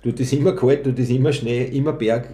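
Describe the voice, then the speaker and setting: Man, Austrian accent, playful, mellow voice, echoey room. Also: mid-range voice, steady tone, fairly quick. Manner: playful, joking. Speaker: podcast co-host. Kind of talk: casual chat. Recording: roomy echo.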